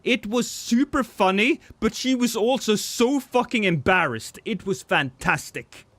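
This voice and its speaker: A man's voice: high voice, lively intonation, a little slow, a bit bright, dry recording. A man, chatting casually and joking with his chat while streaming a game. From Norway.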